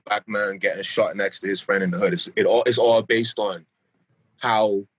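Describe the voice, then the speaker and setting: Laid-back Bahamian-Florida guy, low voice. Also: steady tone, normal speed, rough recording. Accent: Bahamian mixed with South Florida. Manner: laid-back, rambling, repeats himself. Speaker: podcast guest. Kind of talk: casual podcast chat.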